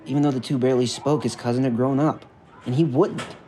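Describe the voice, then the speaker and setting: A man reading a scripted story narration in a measured, earnest way. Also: medium pitch, steady tone, warm tone. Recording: music bed under the narration. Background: background music.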